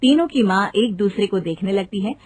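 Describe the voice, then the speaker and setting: A woman's voice: mid-range voice, expressive, moderate pace, darkish tone, breathy edge, no echo. A woman narrating a scripted cartoon story as the storyteller. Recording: music behind the narration.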